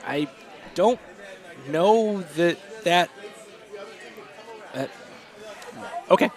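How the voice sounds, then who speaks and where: A fairly high-voiced man from New England calls a curling game in a relaxed, chatty way. He is wry and now and then trips over names. Faint crowd noise sits behind him. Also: drawn-out words. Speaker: curling commentator. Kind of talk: casual play-by-play commentary.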